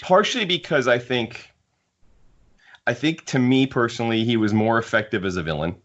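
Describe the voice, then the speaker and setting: A man's voice: mid-range voice, moderate pace, fairly bright tone. A man hosting a casual panel discussion about books, talking in an emphatic, opinionated way. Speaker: podcast host. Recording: muffled lo-fi audio.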